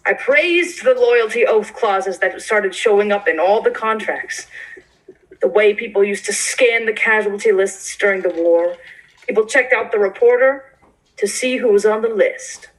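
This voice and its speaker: A self-satisfied 1940s Hollywood publisher acted by a woman holds forth in a theatrical monologue. The delivery is conversational and boastful, with a wry confiding edge and a medium-pitched voice. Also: unhurried, close to an everyday voice, rough recording. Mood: self-righteous, firm. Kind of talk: theatrical monologue in a staged play reading.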